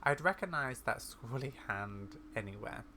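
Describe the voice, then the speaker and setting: A man's voice: mid-range voice, animated, normal speed, bright, forceful tone, slight background noise. A man voicing a kindly, bookish old granny scholar from a video game, speaking in a theatrical, wordy storyteller's way. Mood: eager, theatrical.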